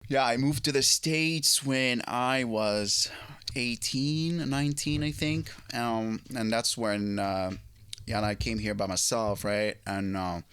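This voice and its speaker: A man from Egypt in his late thirties, a guest telling his story in a casual interview, halting, with many fillers and restarts. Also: medium pitch, a bit bright, clean tone, no echo. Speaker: guest guitarist.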